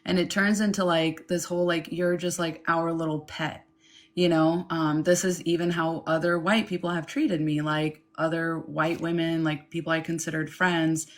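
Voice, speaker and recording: Frank low-voiced woman from Ukraine. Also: moderate pace. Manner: candid and animated, mocks others in quoted asides. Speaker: guest. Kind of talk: casual conversation.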